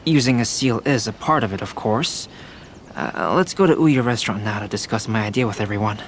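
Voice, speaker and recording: A man playing a composed, analytical young scholar in an anime game, speaking in a measured, precise way. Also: fairly low pitch, noisy background, natural voice. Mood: pensive. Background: music.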